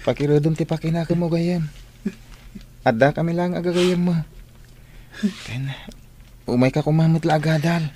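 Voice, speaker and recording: A man's voice: fairly high pitch, fairly even intonation, normal speed, pauses often, dark tone, slight background noise, natural voice. A man playing a frank, exclamatory and encouraging school friend in an Ilocano radio drama.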